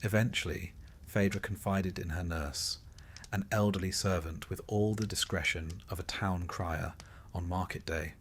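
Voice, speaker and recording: A man narrating a scripted bedtime story in a measured way, with dry, wry understatement. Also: low voice, fairly even intonation, husky.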